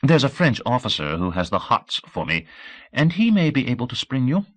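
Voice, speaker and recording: A man's voice: mid-range voice, big pitch swings, fairly quick, fairly bright tone, pitched above the performer's own voice. A man voicing a brassy, scheming woman in an audiobook, with a breezy, flirty, slangy way of talking. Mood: brisk, scheming.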